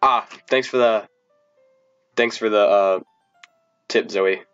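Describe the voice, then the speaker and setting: A man's voice: steady tone, slow pace, fairly bright tone, clear, resonant tone, lo-fi sound, faint music behind. A sick man live-streaming, chatting casually to viewers and stumbling over his words. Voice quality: deep voice. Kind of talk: casual live-stream chat and reading aloud.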